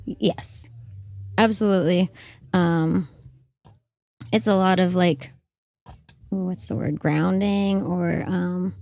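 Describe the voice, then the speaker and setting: A young adult woman, slightly hoarse from karaoke, chatting casually as a podcast guest with many fillers and trailing phrases. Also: on the low side, fairly even intonation, slow pace, long pauses, a little mellow, no echo. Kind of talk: casual interview chat. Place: van-life festival in the desert.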